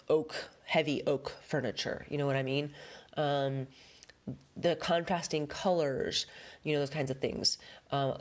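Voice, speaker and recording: Woman, low voice, stuffy nose, chatty, rambling, home decor podcast host. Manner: chatty, rambling, many fillers. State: stuffed up with a head cold. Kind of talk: casual solo podcast talk.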